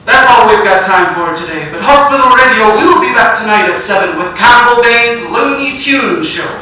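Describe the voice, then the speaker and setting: A composed male soul show presenter with a bright, high voice. He announces in a measured deadpan on hospital radio, in a very echoey space. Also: exaggerated voice. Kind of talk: radio link, dry announcing. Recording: very echoey, distant, faint hiss.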